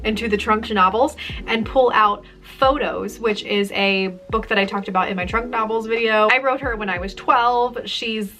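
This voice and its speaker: A young woman hosting a casual vlog as an author, talking in a jokey, self-deprecating way with animated asides. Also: on the high side, normal speed, faint music behind. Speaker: author and video host. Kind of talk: casual chatty vlog to camera.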